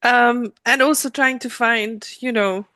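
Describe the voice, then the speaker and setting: A woman's voice: fairly high pitch, fairly even intonation, unhurried, sharp tone. A middle-aged woman with a South African accent, telling her own story conversationally as an interview guest.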